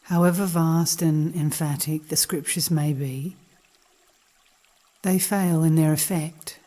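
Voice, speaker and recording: A woman reading spiritual teachings as a guided meditation, in a calm, measured and contemplative manner. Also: low-pitched.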